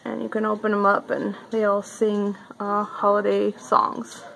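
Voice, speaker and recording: A woman's voice: mid-range voice, completely flat delivery, a little slow, vocal fry, a bit bright, a little hiss or hum, low-quality recording. A woman casually vlogging a walk-through of a craft bazaar, chatty and upbeat with lots of fillers. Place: indoor craft bazaar hall.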